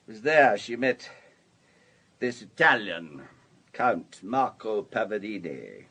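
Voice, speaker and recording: Husky, urbane wealthy older gentleman. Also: mid-range voice, exaggerated voice. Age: 60s. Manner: urbane, discursive, worldly. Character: wealthy older businessman, urbane, paternal ex-husband. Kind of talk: radio drama dialogue.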